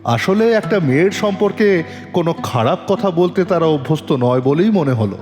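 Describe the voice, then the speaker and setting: A police detective , played by a man, recounts his investigation in an audio drama. His voice is fairly high and very expressive, shifting between storytelling and polite probing questions. It rings with long reverb over faint music. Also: normal speed, natural voice. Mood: suspenseful. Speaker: narrator and lead actor of an audio story.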